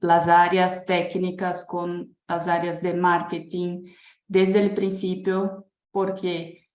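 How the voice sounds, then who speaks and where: A woman with a Brazilian Portuguese accent, a native Portuguese speaker, presents slowly and hesitantly, filling pauses with fillers and restarting her phrases. Her voice sits low and carries a roomy echo over a video call. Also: monotone. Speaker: guest speaker. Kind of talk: webinar presentation.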